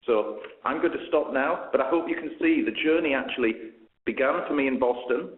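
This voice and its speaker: A man's voice: mid-range voice, brisk. A man presenting informally as a speaker at an online seminar, over a web-conference line. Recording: echoey, narrow phone-like web-seminar line.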